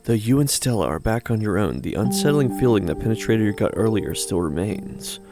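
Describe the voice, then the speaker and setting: A man's voice: on the low side, animated, a little fast. A man co-hosting a game read-aloud, narrating a visual novel with dramatic storytelling and playful commentary. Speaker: co-host reading a game aloud. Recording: music and chirping night insects behind.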